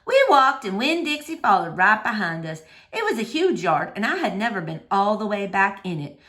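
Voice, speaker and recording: A woman's voice: mid-range voice, big pitch swings, moderate pace, fairly bright tone. A woman reading a children's novel aloud as a storyteller addressing young listeners. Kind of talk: expressive children's novel narration.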